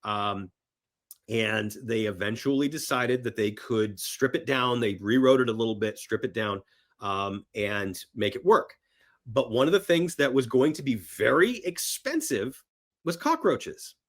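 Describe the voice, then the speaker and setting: A man's voice: medium pitch, animated, measured pace, fairly bright tone. Man telling film stories with emphatic enthusiasm. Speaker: podcast guest. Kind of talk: enthusiastic casual film chat.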